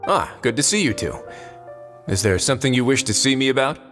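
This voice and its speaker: An actor playing a composed, wry prison warden duke in a video game, speaking in a measured, dryly teasing way. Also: male voice, mid-range voice, animated, natural voice. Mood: calm. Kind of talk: video game character acting. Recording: long reverb, music behind.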